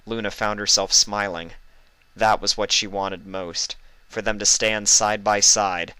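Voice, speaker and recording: A man reading a fan fiction story aloud as expressive scripted narration. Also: on the low side, unhurried, clear, resonant tone, no room sound.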